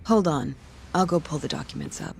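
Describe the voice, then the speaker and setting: An actress playing a cool, reserved young woman in an English-dubbed video game cutscene, terse and deadpan with a creaky voice. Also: low-pitched, fairly quick, slight background noise. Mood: cool, measured.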